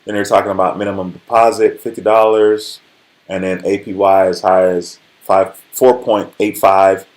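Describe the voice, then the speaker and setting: Guy in his 40s doing a livestream, kinda low voice, casual motivational talk, checks in with viewers a lot. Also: flat delivery, normal speed, darkish tone. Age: forties. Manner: conversational, frequently checks in with listeners. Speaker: livestream host.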